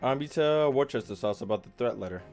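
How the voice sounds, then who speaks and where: A woman streaming a game with casual commentary. Her voice is very low and swings in pitch, slangy and irreverent, with faint game music behind. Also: expressive, fast talker, a little mellow. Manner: irreverent, slangy, muttered asides. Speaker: gaming streamer. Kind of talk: casual reaction commentary over gameplay.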